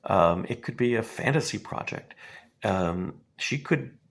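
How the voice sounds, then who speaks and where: A man in his fifties, hoarse from a cold, giving a halting, earnest commentary with many pauses and fillers. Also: lowish voice, expressive. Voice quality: gravelly and husky. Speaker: response video maker. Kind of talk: casual spoken commentary.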